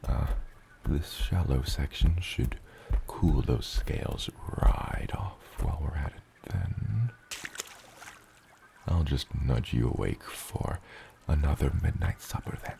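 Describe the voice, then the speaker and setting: A man voicing a huge, gentle father dragon in an ASMR roleplay, with a gravelly, breathy rumble and tender, eloquent phrasing. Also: expressive, exaggerated voice. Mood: coaxing, tender. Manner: slow, tender, eloquent, slightly old-fashioned wording.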